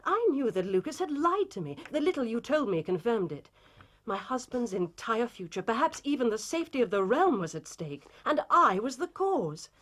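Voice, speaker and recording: A woman's voice: fairly high pitch, very animated, moderate pace, a bit bright. An actress playing an anguished, proud titled Victorian lady in a period drama, formal and emotionally intense. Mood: anguished remorse.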